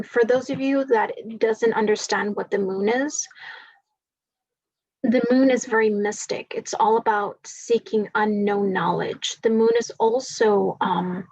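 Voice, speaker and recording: A woman with a groggy voice talks through a spiritual forecast, drifting along with plenty of fillers. Her pace is very slow. The recording is dry and a little dull. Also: medium pitch, steady tone, dry recording. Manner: slow, meandering, many fillers. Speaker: spiritual reader.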